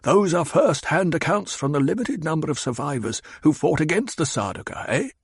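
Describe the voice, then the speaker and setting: A man voicing a cold, sardonic old advisor who speaks in clipped, cutting sentences, pitched higher than the narration. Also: sing-song, normal speed, slightly rough, no echo, clean studio sound, full character voice. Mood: scornful. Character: cold, scornful old male mentat advisor.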